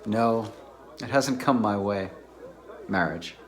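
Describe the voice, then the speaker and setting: A man's voice: on the low side, takes it slowly. A man playing a reserved, naive academic bachelor in a dramatized novel, mild and donnish. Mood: mild, earnest.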